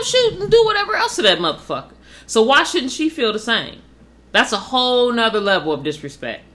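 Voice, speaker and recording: A woman's voice: medium pitch, sharp tone. A woman co-hosting a podcast, giving blunt, profane relationship advice in a casual, preachy way. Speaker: podcast co-host.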